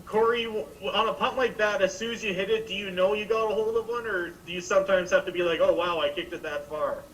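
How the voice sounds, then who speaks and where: A man's voice: fast talker, fairly bright tone. A man asking questions as a sports reporter at a press conference. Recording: distant mic with rumbling noise.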